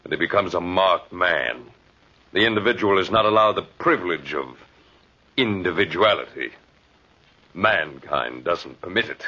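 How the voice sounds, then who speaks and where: An actor playing a huge, embittered backwoods recluse with slow, formal, ominous courtesy in an old-time radio drama. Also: male voice, deep voice, lively intonation, bright, forceful tone, husky, heavily acted. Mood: bitter, aggrieved. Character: giant, ugly, embittered recluse, menacingly courteous backwoods host. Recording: dull, muffled old radio recording.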